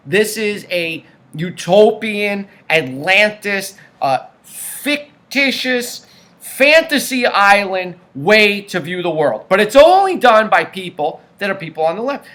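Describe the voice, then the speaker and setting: A man's voice: high-pitched, a bit bright. A man hosting a political commentary show, talking emphatically and stacking up points in lists.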